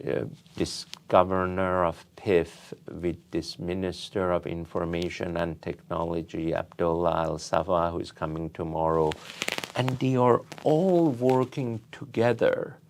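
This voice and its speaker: A middle-aged or older man from Iran speaking as an interview guest, telling anecdotes in a halting, deliberate way. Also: sits low, creaky. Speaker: interview guest, tech company founder and CEO.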